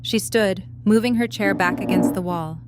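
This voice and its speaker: A woman's voice: mid-range voice, normal speed. A woman reading scripted story narration as the narrator of an audio drama. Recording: faint background hum and noise.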